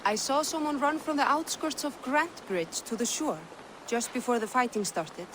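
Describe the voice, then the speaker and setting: A woman's voice: sits high, expressive, moderate pace. Viking villager woman, noisy surroundings. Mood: uneasy recounting. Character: Viking villager giving a plain witness account. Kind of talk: video game character acting. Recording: noisy outdoor ambience behind.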